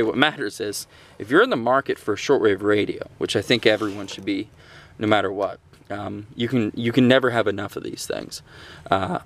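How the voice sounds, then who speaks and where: A man with a fairly low voice gives a casual product review. He speaks conversationally and off the cuff, pausing and restarting as he goes. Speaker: gadget reviewer, host of a radio channel.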